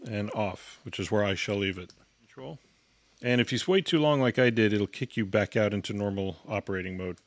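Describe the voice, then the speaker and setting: A man's voice: lowish voice, a little fast, dry recording. A man giving an instructional product walkthrough in a matter-of-fact, step-by-step way. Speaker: product reviewer and demonstrator.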